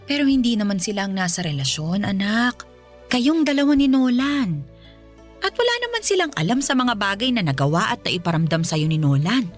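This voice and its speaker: A woman's voice: mid-range voice, sing-song, normal speed, faint music behind, lightly acted. An actress playing a caring, perceptive middle-aged mother in a radio drama, coaxing and firmly reassuring. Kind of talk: Filipino radio drama acting.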